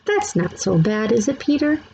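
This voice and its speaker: Patient storybook mom, read by a female narrator, lifted pitch, sing-song coaxing. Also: sing-song, normal speed, vocal fry, dark tone, slight background noise, rough recording. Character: patient, coaxing mother of young children.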